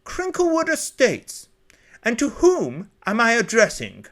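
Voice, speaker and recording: Snooty posh english butler, really high voice, super formal and proper. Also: male voice, wildly swooping pitch, measured pace, pushed and bright, heavily acted. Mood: prim, disdainful. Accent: upper-class English. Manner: formal, haughty, restrained. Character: prim, unflappable male English butler.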